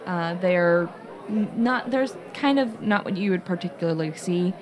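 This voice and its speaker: A woman's voice: on the low side, measured pace, crowd noise. A woman chatting casually as a podcast co-host. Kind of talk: casual chat.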